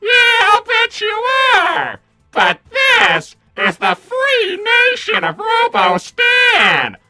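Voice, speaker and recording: A woman's voice: very high voice, huge pitch swings, slow, bright, forceful tone, raised voice, soft background music, exaggerated voice. A woman voicing a smug little robot henchman in a comic cartoon roleplay, taunting and sarcastic.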